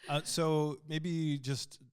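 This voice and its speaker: A man's voice: a little high, fairly even intonation, extremely slow. A man hosting a podcast, prompting his guests with short questions and murmured replies. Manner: brief backchannels, friendly prompts. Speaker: podcast host. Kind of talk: casual interview.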